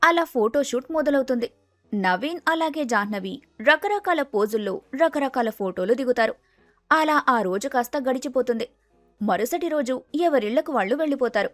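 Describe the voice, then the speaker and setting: Very high-pitched woman storyteller. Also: lively intonation, moderate pace, no echo, soft background music. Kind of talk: scripted story narration.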